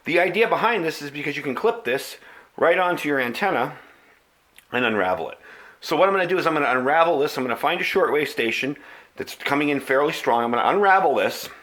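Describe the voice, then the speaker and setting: A man's voice: fairly high pitch, lively intonation, fast, fairly bright tone. Casual middle-aged American man reviewing gear. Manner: conversational, explanatory. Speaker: product reviewer. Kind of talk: casual product review and demo.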